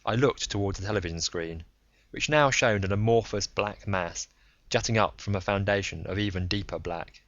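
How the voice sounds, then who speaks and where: A man's voice: on the low side, moderate pace, a bit bright, no room sound, muffled recording. A man reading a first-person story aloud as scripted audiobook narration. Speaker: audiobook reader.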